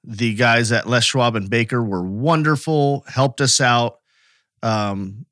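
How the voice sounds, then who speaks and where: A man's voice: mid-range voice, takes it slowly, a bit bright, no room sound, studio quality. An American man co-hosting a podcast, telling anecdotes in casual everyday speech. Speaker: podcast co-host.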